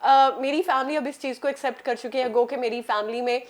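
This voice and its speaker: A woman's voice: high voice, fairly even intonation, brisk. A young adult woman with a Pakistani accent, speaking earnestly as a talk show guest and mixing in English phrases. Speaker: guest, dubbing artist.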